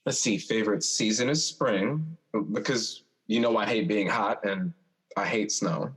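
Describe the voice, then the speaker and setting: An actor playing a teasing, insistent young older brother in an audio drama, drawling and stammering at times. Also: male voice, fairly low pitch, a little slow, fairly bright tone, low-quality recording. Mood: eager, pressing. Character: teasing older brother, a restless young man who has died. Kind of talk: stage-style audio drama dialogue.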